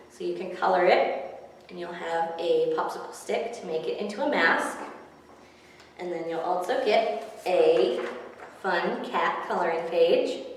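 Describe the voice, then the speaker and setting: A woman's voice: fairly low pitch, slight background noise. A woman hosting a children's story time as a librarian, explaining things simply to young listeners. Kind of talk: picture book read-aloud and explaining to children. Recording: very echoey room, distant microphone.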